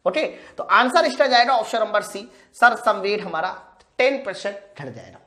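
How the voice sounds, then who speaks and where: A woman's voice: mid-range voice, lively intonation, normal speed, pushed and bright. A woman teaching an exam-prep lesson step by step, asking questions and answering them herself while addressing students informally. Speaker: physics teacher for exam preparation. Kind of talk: live lesson, working through practice questions step by step.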